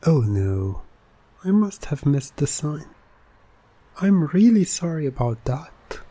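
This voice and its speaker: Guy acting out a smooth talking hypnotist for asmr, mellow breathy voice, slow and coaxing. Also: a little high, very animated, heavily acted. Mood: feigned innocence. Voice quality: soft, breathy. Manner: soothing, persuasive, deliberate, often dropping to a whisper. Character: smooth-talking, coaxing male hypnotist playing innocent motorist. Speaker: ASMR roleplay performer.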